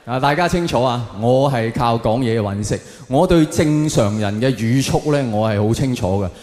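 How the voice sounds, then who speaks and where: A man's voice: mid-range voice, lively intonation, moderate pace, darkish tone. A man from Hong Kong with a Hong Kong Cantonese accent, performing stand-up comedy in a sardonic, theatrical manner full of rhetorical questions. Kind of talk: stand-up comedy monologue to a live audience. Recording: echoey hall with long reverb.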